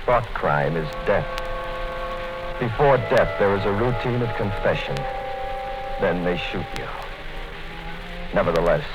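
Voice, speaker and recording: A brooding, fearful diarist. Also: male voice, deep voice, animated, measured pace, pauses often. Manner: tense, brooding inner monologue. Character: fearful, secretive male office worker keeping a diary. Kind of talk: 1950s radio drama narration. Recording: dull-sounding 1950s radio broadcast with music.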